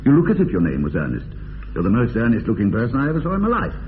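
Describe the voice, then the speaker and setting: Arch, teasing English gentleman, tinny radio sound. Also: medium pitch, wildly swooping pitch, rapid-fire. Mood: amused, probing. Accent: English upper-class. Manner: arch, teasing, mock-earnest, polished phrasing. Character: idle, witty, teasing young upper-class English bachelor. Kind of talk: radio drama acting of period comedy. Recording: tinny band-limited radio, long reverb, hiss.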